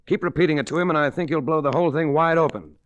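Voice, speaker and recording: An actor playing a cool, blunt, world-weary investigator in a 1940s radio drama, with a clipped, deadpan delivery. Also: male voice, a little high, very fast, dull audio, a little hiss or hum, natural voice. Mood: brisk, commanding. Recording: restored vintage 1940s radio recording.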